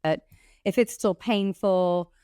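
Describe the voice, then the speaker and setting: A woman's voice: medium pitch, steady tone, takes it slowly, warm tone, smooth, no room sound. A middle-aged woman hosting an interview podcast. She talks in a chatty, affirming way and thinks aloud as she goes. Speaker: podcast host and clinic owner.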